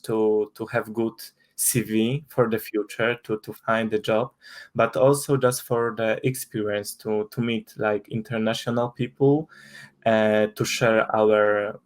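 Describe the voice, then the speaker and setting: A young man from Poland, speaking English with a Polish accent as a guest in an interview, hesitant with many restarts and fillers. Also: lowish voice. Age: young adult. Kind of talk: casual interview talk.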